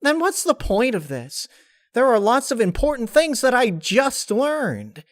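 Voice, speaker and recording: A man's voice: extremely high pitch, huge pitch swings, unhurried, no room sound, studio quality, pitched above the performer's own voice, full character voice. A man voicing a troubled but determined motherly female pony in a story reading, earnest and pleading. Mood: earnest, upset.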